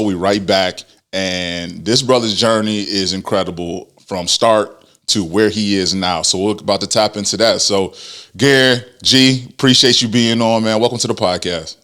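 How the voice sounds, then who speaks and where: Podcast host, young man, deep voice, casual and affirming with his guest. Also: bright, forceful tone. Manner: hyped greeting, then easy affirming interviewer.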